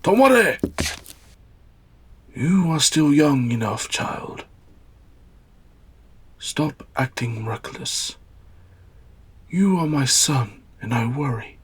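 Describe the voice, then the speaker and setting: A man playing a stern old warrior father and master in an audio drama, speaking in a solemn, measured way. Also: mid-range voice, husky. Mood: firm, protective. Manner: solemn, measured, sage-like delivery.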